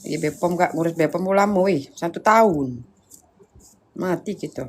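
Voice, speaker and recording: A woman's voice: on the low side, normal speed. Woman chatting casually over faint room noise. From Indonesia, Sundanese background. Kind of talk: casual chat.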